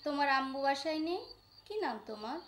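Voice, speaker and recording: High-voiced polite health worker played by a woman. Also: big pitch swings, fairly quick, a little hiss or hum. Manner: polite, formal. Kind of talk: acted educational film drama.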